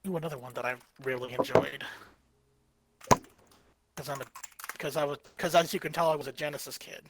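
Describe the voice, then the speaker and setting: Young male streamer, slightly high voice, tired late-night rambling casual chat. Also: brisk, very noisy. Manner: rambling, restarts sentences, excitable about old games. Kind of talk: casual chat while gaming.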